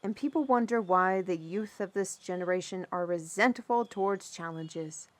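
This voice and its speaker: A woman's voice: on the low side, moderate pace, no room sound, close to an everyday voice. Preachy, outspoken fantasy woman, voiced by an actress. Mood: bitter, lecturing. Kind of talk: audio drama acting.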